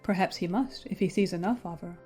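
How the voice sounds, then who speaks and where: A woman playing a pragmatic, worldly young gentlewoman in an audiobook drama, advising in measured, matter-of-fact period diction. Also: on the low side, soft background music, lightly acted. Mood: measured persuasion.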